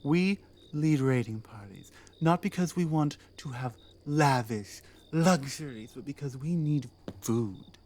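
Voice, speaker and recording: A woman playing a proud, battle-hardened orc queen in a scripted ASMR roleplay, speaking deliberately with commanding, pointed rhetorical phrasing. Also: rumbling low, expressive, dark tone, slight background noise, exaggerated voice. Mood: earnest, persuasive.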